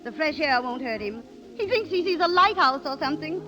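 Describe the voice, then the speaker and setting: An actress playing a vain, restless middle-aged American wife, coy and demanding, in 1930s radio drama style on an old broadcast. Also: female voice, sits high, expressive, fairly quick, pushed and bright, soft background music. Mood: flirtatious.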